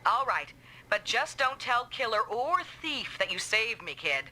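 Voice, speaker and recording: A woman's voice: on the high side, very animated, unhurried, very noisy. A woman playing a spirited, proud female mech pilot in a dubbed video game. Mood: embarrassed. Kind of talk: anime-style video game dub acting. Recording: loud game music and beeps behind. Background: loud music, electronic beeps.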